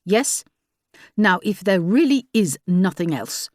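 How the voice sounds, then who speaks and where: A man's voice: high-pitched, big pitch swings, slow, dry recording, clean studio sound, exaggerated voice. A woman voicing a brusque, dismissive middle-aged French police commissioner who talks in a French accent and cuts others off. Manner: brusque, interrupting.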